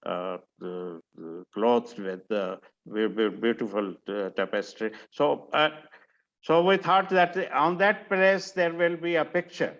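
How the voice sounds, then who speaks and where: Older man, from Pakistan, slow pace, hesitant, frequent fillers, muffled video call sound. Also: medium pitch, steady tone, a bit bright. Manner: slow and halting, many fillers and restarts. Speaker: retired museum director, forum organizer. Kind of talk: casual self-introduction on a video call.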